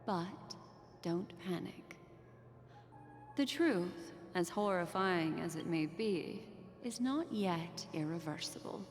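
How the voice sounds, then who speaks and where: Casting: teasing, enigmatic fantasy woman narrator; slow, hushed bedtime delivery, long reverb tail. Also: mid-range voice. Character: mysterious, teasing, soothing female storyteller in fantasy game. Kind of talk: scripted game narration. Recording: long reverb, music behind. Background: game music.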